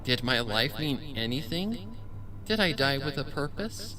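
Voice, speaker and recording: A man voicing a deranged, calmly philosophical female pony killer from a cartoon fan story, lecturing coolly. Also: a little high, a little slow, voice pushed up, poor audio. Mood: calm menace.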